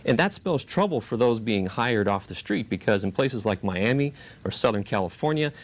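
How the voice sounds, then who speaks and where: Lower-pitched male spokesman. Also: expressive, moderate pace. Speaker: union spokesperson. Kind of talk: explanatory interview answers.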